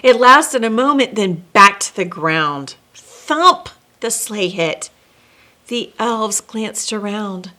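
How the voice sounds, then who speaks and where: A woman storyteller reading a children's picture book aloud expressively, with dramatic pauses and chatty asides as host. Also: medium pitch, lively intonation, bright, forceful tone.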